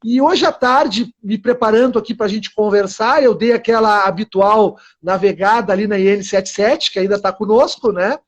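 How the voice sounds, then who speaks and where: A man's voice: high-pitched, normal speed, hardly any pauses, highs cut off. A middle-aged man hosting a live-stream interview, eager and rambling, often restarting his sentences. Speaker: host lawyer.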